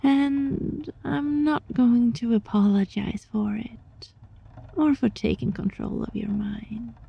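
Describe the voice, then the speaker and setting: A woman voicing a sly, teasing, controlling yet gentle fox-spirit roommate in an ASMR roleplay, with a breathy voice that often drops into a whisper. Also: a little high, dull audio, full character voice. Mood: firm, resolute. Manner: slow, teasing, softly menacing. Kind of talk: ASMR roleplay, scripted monologue addressed to listener.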